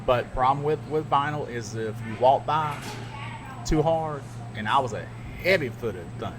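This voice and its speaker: A middle-aged man, a radio DJ, chatting casually and telling stories with animated asides. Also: medium pitch, moderate pace. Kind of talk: casual podcast chat. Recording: noisy background.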